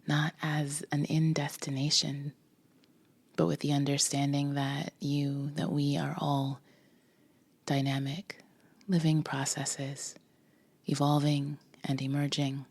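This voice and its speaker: A woman leading a guided meditation with a soft, breathy voice, gently instructing in short phrases with pauses between them. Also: low voice, monotone, no room sound. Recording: music behind the voice. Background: music.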